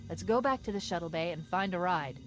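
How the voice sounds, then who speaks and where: A woman playing a brisk, wry female warship AI in a video game, crisp and commanding. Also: lowish voice, animated, soft background music, lightly acted. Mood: brisk, focused. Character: female warship AI, brisk, urgent, dryly sarcastic.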